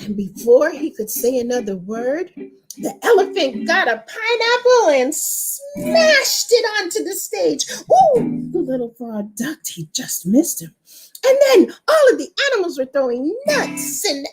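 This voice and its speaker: A woman's voice: high voice, very animated, unhurried, poor audio. A woman telling a children's story in a dramatic manner, repeating words for effect.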